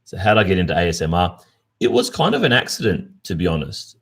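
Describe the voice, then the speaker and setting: A man's voice: low-pitched, very animated, fast talker, vocal fry, slightly rough. An Australian man hosting a casual livestream, chatting with his viewers and reading out their comments. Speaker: ASMR roleplay streamer.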